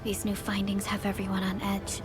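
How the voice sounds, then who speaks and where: A woman's voice: medium pitch, completely flat delivery, a little fast, over music, close to an everyday voice. A woman playing an earnest, dutiful supervisor in a video game, with formal diction. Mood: earnest, serious.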